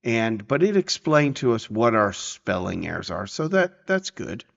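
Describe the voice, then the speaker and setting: A man presenting a casual software tutorial, explaining informally and checking in as he goes. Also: on the low side, sing-song, a little slow, gravelly, muffled recording.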